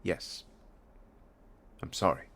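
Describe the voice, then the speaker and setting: An actor playing a withdrawn, brooding pianist in an audio drama, with a husky, creaky voice and a terse, guarded manner. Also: male voice, slow pace, a bit bright. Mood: defensive, frustrated. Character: withdrawn, brooding male pianist in a strained romance. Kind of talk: naturalistic audio drama acting with inner monologue.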